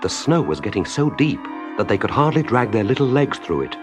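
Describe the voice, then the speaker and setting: A man's voice: medium pitch, very animated, a little fast, music in the background. A man reading a scripted children's story as a storyteller.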